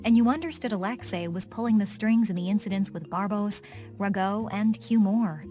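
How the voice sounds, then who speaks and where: A woman's voice: medium pitch, moderate pace, mellow, faint music behind, natural voice. Woman playing a composed, knowing warrior woman. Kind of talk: English game dub acting.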